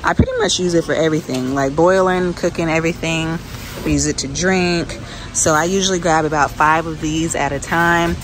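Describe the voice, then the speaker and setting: A woman's voice: low-pitched, steady tone, moderate pace, poor audio. Woman vlogging casually amid store noise. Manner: informal, conversational. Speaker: shopping vlogger. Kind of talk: casual shopping chat, narrating as she goes. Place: inside a large grocery store. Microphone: handheld phone. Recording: clear store ambience noise behind voice. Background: supermarket background noise.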